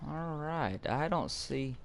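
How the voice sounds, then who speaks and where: A man's voice: on the high side, lively intonation, slow pace, creaky, slight background noise. A fourteen-year-old boy chatting casually with viewers while playing a farming game, rambling and repeating words as he starts sentences. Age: teens. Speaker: gaming video host. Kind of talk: casual gameplay chat with viewers.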